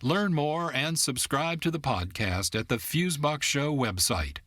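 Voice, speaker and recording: A man's voice: on the high side, unhurried, a bit bright, no echo. Dry, close-miked American male announcer. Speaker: radio show host, announcer. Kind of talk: scripted narration.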